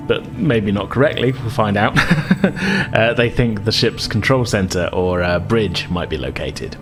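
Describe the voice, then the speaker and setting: Guy game mastering, lowish voice, chatty and a bit hesitant, music playing behind him. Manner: hesitant, informal, explanatory, chuckling asides. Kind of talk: casual tabletop game narration. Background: background music.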